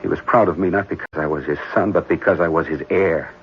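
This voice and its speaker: A muffled, noisy old radio recording carries a young heir. His voice sits low and smooth, with clipped, impatient phrasing and hesitations that suggest evasion. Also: quick pace. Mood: grim, bitter. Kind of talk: old-time radio drama acting.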